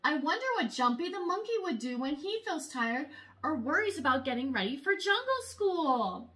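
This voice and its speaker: A young woman reading a children's picture book aloud in an expressive, exaggerated storytelling way. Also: sits high, moderate pace. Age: young adult. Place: small room at home, indoors.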